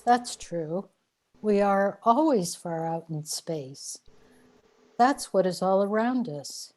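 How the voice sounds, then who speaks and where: Calm imaginary companion played by a woman, radio play, mellow tone, unhurried explanatory delivery. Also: medium pitch. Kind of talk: amateur radio play acting.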